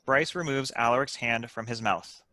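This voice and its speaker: Bright-voiced man narrating a script. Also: medium pitch, moderate pace. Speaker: stage directions reader.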